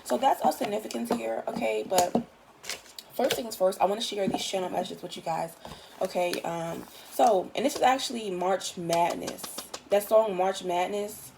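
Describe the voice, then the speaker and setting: A tired woman with a raspy voice, giving a casual, chatty tarot reading in loose, swearing everyday talk. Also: mid-range voice, normal speed, background noise. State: just woke up, tired. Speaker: tarot reader.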